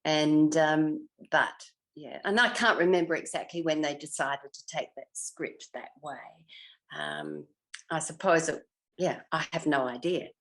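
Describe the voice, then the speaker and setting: An older woman, a former television actress, reminiscing in an interview in an effusive way, often pausing to search her memory. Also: deep voice, lively intonation, a bit bright.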